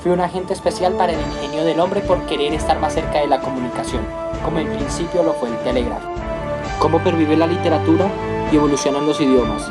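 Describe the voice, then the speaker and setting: Young man narrating, higher voice, loud music. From Colombia. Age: young adult. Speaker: radio program host and narrator. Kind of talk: scripted educational radio narration.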